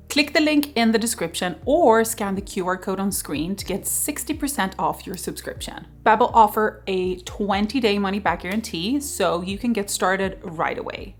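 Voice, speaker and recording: A woman's voice: medium pitch, normal speed. Chatty Swedish woman vlogging outdoors. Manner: chatty, laughs often, animated. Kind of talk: casual vlog chat. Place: outdoors in arctic cold.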